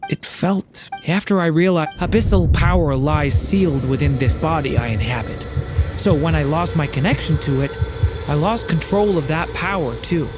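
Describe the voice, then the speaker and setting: An actor voicing a gentle, unsure young game character, speaking softly with hesitant stammers. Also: male voice, fairly high pitch, lively intonation, moderate pace, music in the background, close to an everyday voice. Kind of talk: video game voice acting.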